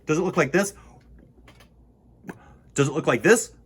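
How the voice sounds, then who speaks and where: A man's voice: on the high side, measured pace, a bit bright, breathy edge. A man hosting a children's storytime, reading and chatting to young listeners in a playful, whimsical, rambling way. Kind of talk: picture-book reading and playful chat to children.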